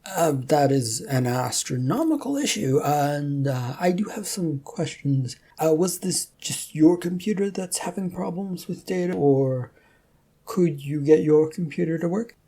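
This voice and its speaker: A woman plays a flustered male office worker from a comic story. He is hesitant and placating, stammering along in a low, husky voice. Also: expressive, a little slow, mellow, exaggerated voice.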